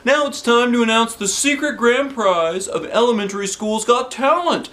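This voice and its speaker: A man's voice: extremely high pitch, lively intonation, normal speed, a bit bright, slight background noise, voice pushed up. A man voicing a showy school principal who hosts a televised talent show in a children's story, announcing and selling like a salesman. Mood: salesman-like, grand. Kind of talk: children's book character voice.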